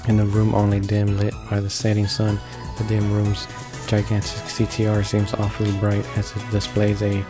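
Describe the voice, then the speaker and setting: A man reading a visual novel aloud as a let's play narrator, haltingly, stumbling and repeating words. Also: lowish voice. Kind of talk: read-along of a visual novel. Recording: voice-over with game music behind.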